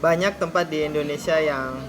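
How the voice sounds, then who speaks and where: A man's voice: on the high side, moderate pace, music in the background. A man from Yogyakarta answering questions hesitantly, searching for words. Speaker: interviewee. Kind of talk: casual interview answers.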